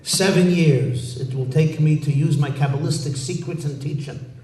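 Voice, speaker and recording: A man doing a sly calm woodchopper. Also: a little high, normal speed, close to an everyday voice. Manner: easygoing, reassuring, wry. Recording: echoey room, mic far off, faint background noise.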